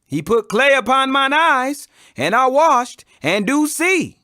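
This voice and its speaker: A man's voice: extremely high pitch, big pitch swings, takes it slowly, pushed and bright, dry recording, clean studio sound, pitched above the performer's own voice, full character voice. A man voicing a plainspoken, defiant beggar healed of blindness, emphatic and declamatory, in a scripture reading. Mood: earnest, plain.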